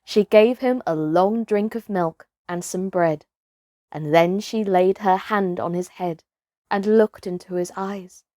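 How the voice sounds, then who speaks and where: A woman narrating a storybook for children, with expressive, careful and deliberate scripted delivery. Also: mid-range voice, no room sound, studio quality.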